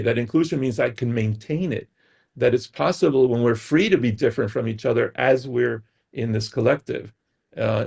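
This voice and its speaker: A man's voice: mid-range voice, creaky, a little mellow, breathy edge. A middle-aged man from the United States, a guest expert explaining ideas on a talk show and often checking in with "right?".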